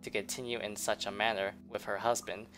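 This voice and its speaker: A woman reading a visual novel aloud in a game playthrough, voicing the inner narration with quick reactions. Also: extremely low pitch, flat delivery, fairly bright tone, soft background music, rough recording. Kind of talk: let's play narration.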